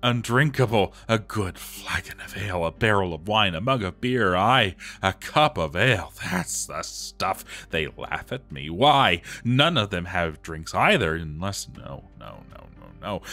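Brief: male performer as a doomed dwarf armorer, very low voice, grave and theatrical, journal drama over background music. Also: pushed and bright, exaggerated voice. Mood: desperate madness.

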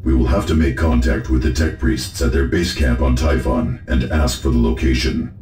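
An actor playing a solemn, methodical space marine tech-priest, giving matter-of-fact briefings in a video game. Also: male voice, a bass voice, normal speed, darkish tone, slight background noise. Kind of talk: scripted game mission briefing. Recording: echoing voice with faint music behind.

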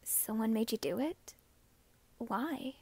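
A woman's voice: fairly high pitch, lively intonation, slow, a little mellow, husky, no room sound, full character voice. A woman playing a mischievous, grateful young witch sister back from the grave, in an ASMR roleplay.

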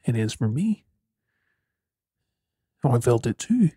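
Casting: male actor as troubled young Australian man, earnest and remorseful, mellow husky delivery for ASMR drama, dry close sound. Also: medium pitch, very animated, slow, no room sound, heavily acted. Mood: remorseful, hushed. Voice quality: husky, breathy. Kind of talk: ASMR audio drama acting.

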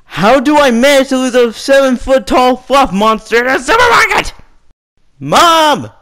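Shrill, anxious little goat boy. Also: very animated, measured pace, fairly bright tone, heavily acted. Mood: panicked.

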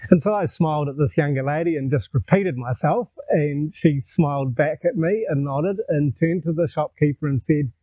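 An older man with a New Zealand accent talks easily to camera, telling stories in a relaxed, chatty way with a slightly raised voice. The lapel recording sounds narrow and tinny, like a phone line. It is dry, with no room echo. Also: a little high, moderate pace, hardly any pauses. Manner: relaxed, conversational, gestures while talking. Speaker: vlogger. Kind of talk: casual storytelling to camera. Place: outdoors in a garden. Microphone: lapel mic.

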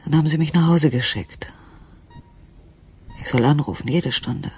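A woman's voice: deep voice, expressive, moderate pace, a little mellow, slightly rough, full character voice. An actress playing a weary, troubled wife recounting her day in a radio drama monologue, half-whispering.

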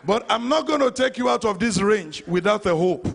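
Nigerian guy preaching hard in church, really high voice w/ lots of gravel, punches his phrases. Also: animated, normal speed. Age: middle-aged. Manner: emphatic, declamatory, repeats phrases for effect. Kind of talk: impassioned sermon. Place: large church hall before a congregation. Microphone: handheld microphone. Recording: live church PA with faint crowd.

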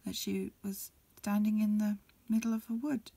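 A woman reading a storybook aloud as ASMR in a breathy, mostly whispered voice, a little hesitant, with small stumbles. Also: medium pitch, flat delivery, moderate pace, mellow, dry recording. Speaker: amateur reader. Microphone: close recording, lo-fi home setup.

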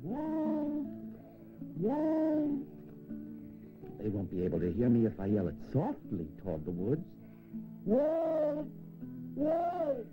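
A man's voice: wildly swooping pitch, measured pace, low-quality recording. A man playing a restless, mischievous shepherd boy in a children's audio story, with a dramatic delivery. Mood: sly, playful. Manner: dramatic, swooping from muttering to high yells. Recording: music behind the voice.

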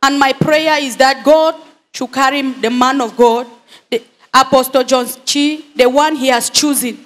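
High-voiced woman praying aloud. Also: steady tone, unhurried. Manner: prayerful, declamatory. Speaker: congregant giving testimony. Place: large indoor church hall. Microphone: handheld microphone.

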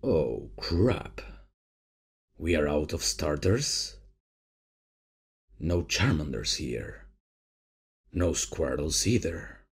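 A man playing a laid-back, bumbling substitute professor in a comedic ASMR roleplay, casual and hesitant, with a deep, gravelly, husky voice. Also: very animated, extremely slow, long pauses, heavily acted. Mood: flustered. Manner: casual, hesitant, chuckling, unprofessional. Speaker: roleplay audio performer.

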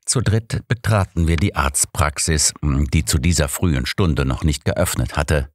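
A man's voice: rumbling low, measured pace, dry recording, studio quality. A man narrating a story in the first person as a scripted audiobook reading.

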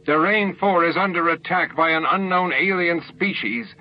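A man's voice: fairly high pitch, moderate pace, lo-fi sound, pitched above the performer's own voice, close to an everyday voice. A man voicing a panicked leader of a threatened world in a sci-fi audiobook, urgent and snapping. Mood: alarmed.

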